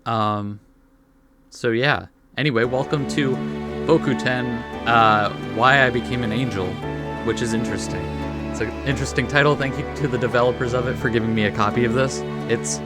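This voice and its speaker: A let's play host commenting casually on a game and reading its text aloud, a little haltingly, with soft interjections. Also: medium pitch. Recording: game music behind the voice.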